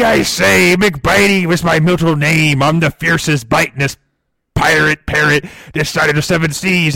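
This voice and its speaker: A man's voice: fairly high pitch, gravelly, pushed and bright, dry recording. A man voicing a mischievous, biting male demon pirate parrot in an improvised comedy bit, growling pirate "yarg"s.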